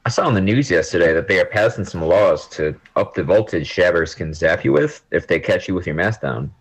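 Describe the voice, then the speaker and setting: Young man acting blunt, supportive best friend, very low husky voice, romcom audio play. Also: lively intonation, brisk, exaggerated voice, low-quality recording. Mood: encouraging. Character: blunt, teasing, supportive gamer buddy. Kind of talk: amateur romantic comedy audio drama.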